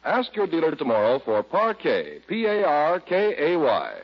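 A man announcing on 1940s radio, reading sponsor copy and scene narration with a polished, emphatic salesman delivery and husky voice. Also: a little high, wildly swooping pitch, normal speed. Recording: dull vintage radio recording.

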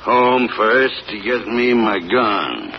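A rural father talks in a low, drawling voice. His pitch moves widely, and the old broadcast sound is muffled with faint hiss. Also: big pitch swings, takes it slowly, heavily acted. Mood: worried. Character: rural father, hound owner, steady. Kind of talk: 1940s radio acting.

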